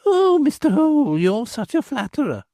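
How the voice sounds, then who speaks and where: A tart, matronly village woman with a high, lively voice, as a male reader does her. She scolds and questions sharply. The sound is close and dry. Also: normal speed, dry recording, exaggerated voice.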